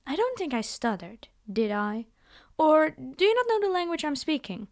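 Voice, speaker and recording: A woman's voice: high voice, sing-song, moderate pace, dry recording, clean studio sound. A woman playing a haughty, possessive vampire noblewoman in an ASMR fantasy roleplay, speaking in a theatrical, condescending and formal way. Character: haughty, possessive vampire noblewoman, polite yet menacing captor.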